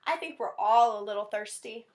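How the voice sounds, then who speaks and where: A woman's voice: medium pitch, moderate pace, natural voice. A woman voicing a friendly, easygoing, upbeat bear from a children's picture book. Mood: agreeable.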